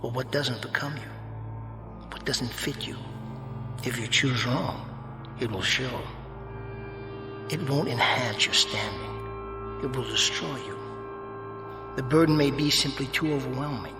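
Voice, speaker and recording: An actor playing a calm, sardonic, otherworldly judge in a radio drama, speaking in measured, formal, mocking phrases through heavy reverb. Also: male voice, medium pitch. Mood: knowing, warning. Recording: long echoing reverb, music behind.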